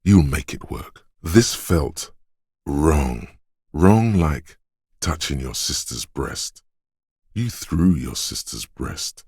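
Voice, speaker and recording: An actor voicing a solemn inner voice of instinct and marksmanship in a video game, with measured, deliberate, dramatic narration and a husky, gravelly tone. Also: male voice, a bass voice, expressive, darkish tone, dry recording, clean studio sound, heavily acted. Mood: intense, solemn.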